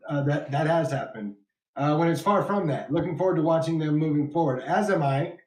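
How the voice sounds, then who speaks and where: A man's voice: a little high, brisk, reverberant, rough recording. A man from West Texas with a West Texas accent, hosting a casual wrestling podcast, laughing often and reading viewer comments aloud. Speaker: podcast host.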